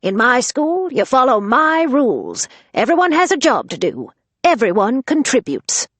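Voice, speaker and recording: Woman doing a bossy old granny, loud and scolding, higher than her own voice. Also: very animated, normal speed, slightly rough, no room sound. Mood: brisk. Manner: scolding, commanding. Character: fierce, sharp-tongued grandmother, older woman.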